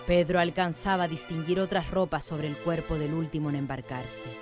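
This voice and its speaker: A woman's voice: sits low, fairly even intonation, normal speed. A woman narrating a scripted radio drama in a literary storytelling manner. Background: music.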